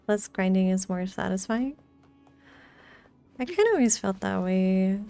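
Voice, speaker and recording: A woman's voice: medium pitch, animated, measured pace, vocal fry, dark tone, faint music behind. A woman streaming a video game, chatting casually with her viewers as she plays. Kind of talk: casual chat with viewers during gameplay.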